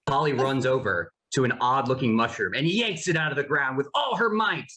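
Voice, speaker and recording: A narrator reads out scripted stage directions at a cartoon table read. The delivery is animated, with wide, lively movement in pitch. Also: brisk.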